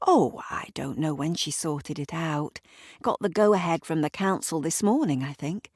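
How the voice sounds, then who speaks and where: A woman's voice: low voice, a little slow, darkish tone, dry recording, studio quality. Woman voicing a gossipy village neighbour. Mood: chatty. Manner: gossipy, eager. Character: chatty, nosy village woman.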